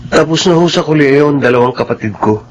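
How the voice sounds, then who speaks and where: A man acts out a principled family driver in a radio drama. His voice is a little high, and he speaks with measured deference, humble and earnest. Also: muffled recording, natural voice. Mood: polite, friendly.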